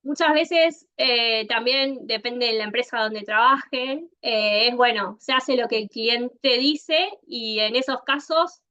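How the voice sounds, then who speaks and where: A woman's voice: fairly high pitch, takes it slowly, hardly any pauses, pushed and bright, lo-fi sound. A woman from Argentina with an Argentine accent, giving a conference talk as a consultant in a conversational way, with frequent "eh" fillers. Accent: Argentine Spanish. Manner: conversational, many "eh" fillers, addresses the audience directly. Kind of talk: online conference presentation.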